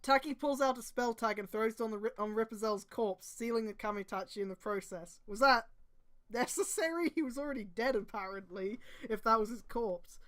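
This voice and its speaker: A woman's voice: on the high side, fairly quick, slight background noise. A woman reading fan fiction aloud on a stream, breaking in with exasperated, casual, sweary commentary.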